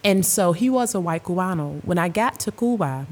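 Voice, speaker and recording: A woman with a notably low, deep voice talks through her experiences at an unhurried pace. She is a middle-aged American academic, earnest and measured, with the odd soft laugh. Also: animated. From United States. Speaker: Spanish professor and researcher. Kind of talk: panel discussion, thoughtful storytelling.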